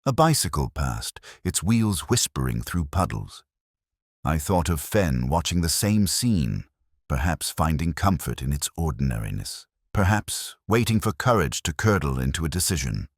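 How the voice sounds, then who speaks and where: A world-weary ageing inspector, played by a man, recounts his case in an extremely low voice. His delivery is literary and melancholy, with expressive rises and falls. The sound is dry and close, in the style of a detective audio drama. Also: dry recording, exaggerated voice. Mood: brooding. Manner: literary, measured, brooding. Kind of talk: scripted first-person detective audio drama narration.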